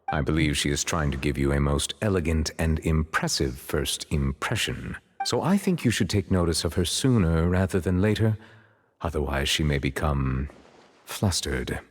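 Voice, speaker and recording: A man playing a composed, formal chief justice in a game, speaking with measured courtesy. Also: deep voice.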